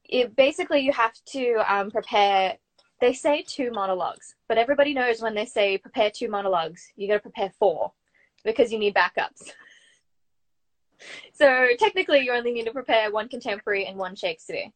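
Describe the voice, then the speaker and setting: A woman's voice: a little high, fairly quick, low-quality recording. A young adult woman with an Australian accent, talking in an informal, anecdotal way as the guest in a casual interview. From Brisbane, Australia. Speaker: guest, actor and acting student.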